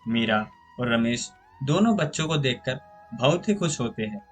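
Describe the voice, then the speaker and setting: A man's voice: medium pitch, expressive, normal speed, faint music behind. A man reading a scripted moral story as a storyteller.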